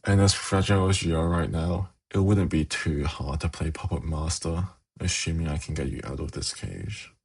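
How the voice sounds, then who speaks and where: A man playing a suave, haughty demon prince in an ASMR fantasy roleplay, speaking in a measured, formal, silkily condescending way with a gravelly, husky voice. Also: very deep voice, exaggerated voice. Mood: soft, coaxing.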